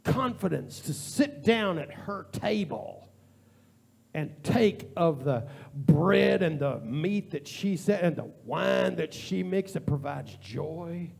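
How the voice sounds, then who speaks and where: A male pastor preaching in a church, with a high voice and a gravelly edge to it. His delivery is informal and repetitive. He swings from impassioned, near-shouted pleading to hushed prayer. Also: animated, slow pace. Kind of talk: sermon. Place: church sanctuary.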